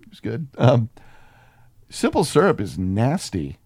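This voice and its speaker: A man's voice: mid-range voice, wildly swooping pitch, unhurried, a little mellow, husky, dry recording, clean studio sound. A man co-hosting a film podcast in casual comedic banter, irreverent and sweary, slipping into mock voices as he riffs. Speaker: podcast co-host reviewing films.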